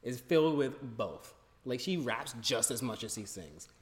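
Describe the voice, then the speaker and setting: A man's voice: mid-range voice, very animated, moderate pace, a crowd in the background. A young adult man co-hosting a podcast in casual chat, arguing his point emphatically and swearing. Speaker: podcast co-host. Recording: reverberant room.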